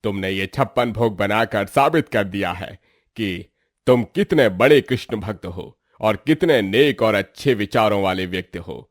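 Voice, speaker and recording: A man voicing a dignified, approving village headman in a moral story, speaking in a measured, solemn way. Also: lowish voice, lively intonation, dry recording, clean studio sound, pitched below the performer's own voice. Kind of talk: acted moral-story dialogue.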